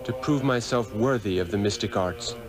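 A man plays a determined, humble pupil of magic with a lowish voice. He speaks with formal, theatrical earnestness in 1960s radio drama style, over clearly audible music. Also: moderate pace, lightly acted. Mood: pleading, earnest. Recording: old radio broadcast with music underneath.

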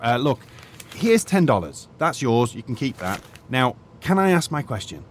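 Male actor as retired English archaeologist for audio drama, British accent, polite yet sardonic, very lively intonation over faint ambience. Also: medium pitch, moderate pace, lightly acted. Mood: polite, hesitant. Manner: muttering musings, hesitant, polite. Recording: faint ambient noise behind.

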